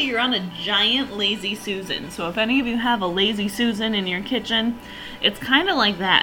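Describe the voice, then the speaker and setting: A woman hosting her own podcast in a chatty, enthusiastic way, her voice slightly raspy and hoarse from allergies. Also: mid-range voice, sharp tone, low-quality recording. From northern region. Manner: chatty, enthusiastic, rambling with fillers. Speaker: podcast host. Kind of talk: casual solo podcast review.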